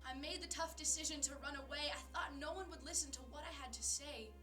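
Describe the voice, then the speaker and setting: A woman performing a slam poem in an earnest, dramatic way, with rhythmic rhymed phrasing that moves between emphatic and hushed. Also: sits high, quick pace, over music. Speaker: spoken word poet.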